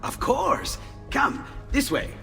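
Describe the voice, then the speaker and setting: A man acting an excitable, friendly Renaissance inventor with an Italian accent in an expressive video game cutscene. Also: very high-pitched, slow, creaky, full character voice. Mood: excited, flustered. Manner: expressive, exclaiming, playful. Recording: long reverb, loud music behind.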